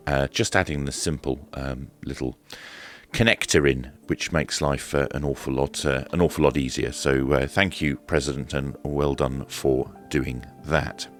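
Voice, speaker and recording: A British man giving casual, informal hands-on commentary as he presents a product review. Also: very deep voice. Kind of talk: casual unboxing and demonstration commentary.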